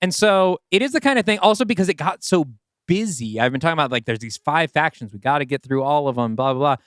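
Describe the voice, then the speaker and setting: A man's voice: on the high side, lively intonation, fast, fairly bright tone, clean tone, no room sound, studio quality. A man co-hosting a podcast in casual, rambling, self-doubting chat as a writer. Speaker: podcast co-host and writer of the story discussed.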